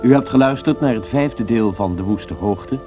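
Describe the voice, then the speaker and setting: A man's voice: fairly low pitch, moderate pace. A man giving a scripted broadcast announcement as a radio announcer. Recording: dull old radio recording. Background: music.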